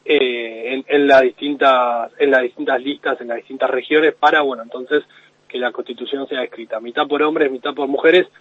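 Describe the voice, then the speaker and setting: Guy on a phone call into radio, husky voice, breaks it down step by step, tinny dry line. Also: medium pitch, normal speed, dry recording. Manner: explanatory, steady, with frequent restarts. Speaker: radio correspondent. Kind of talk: radio news analysis.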